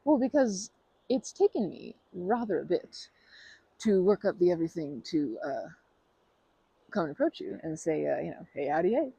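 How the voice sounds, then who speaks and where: A woman's voice: fairly low pitch, sing-song, moderate pace, vocal fry, slightly rough, no room sound, low-quality recording. A woman in an ASMR roleplay who talks to the listener in a rambling, bashful and playful way, full of fillers and restarts. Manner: playful and earnest, laughing softly.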